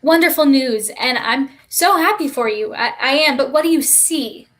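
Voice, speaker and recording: Woman playing a needy, high-pitched restless child. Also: normal speed, fairly bright tone. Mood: curious, eager. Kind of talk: staged play reading over livestream.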